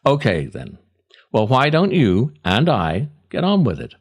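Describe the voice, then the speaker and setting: A man reading his own scripted comic writing as a podcast host, theatrical and wry, with deliberate dramatic pauses. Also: medium pitch, huge pitch swings, husky.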